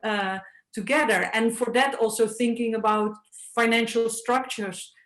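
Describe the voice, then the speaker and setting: A woman's voice: a little high, steady tone, a little slow, a bit bright, roomy sound. A woman artist speaking thoughtfully on a panel, with frequent "like" fillers. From Netherlands. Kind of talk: panel discussion.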